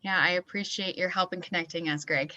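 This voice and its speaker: A woman's voice: on the low side, fairly even intonation, fairly quick, sharp tone, no echo, dull audio. A woman moderating an online policy forum, reading a speaker's bio with small slips and fillers over a video call. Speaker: event organizer and moderator.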